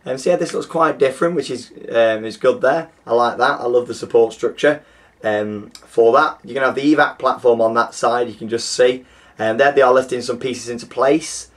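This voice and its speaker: A young man hosting a theme park news show, his voice groggy and hoarse, chatting enthusiastically with plenty of fillers. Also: mid-range voice, brisk. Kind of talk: casual scripted news presenting.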